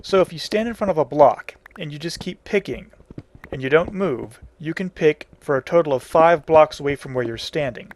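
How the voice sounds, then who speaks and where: A man's voice: on the high side, normal speed. A man hosting a casual video game tutorial, explaining each step in plain, folksy wording. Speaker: tutorial host.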